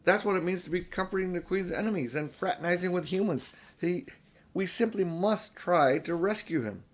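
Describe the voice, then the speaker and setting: A man's voice: mid-range voice, expressive, normal speed. A man voicing an earnest, kindhearted, insistent little girl in a storybook. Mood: pleading, urgent. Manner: insistent, pleading.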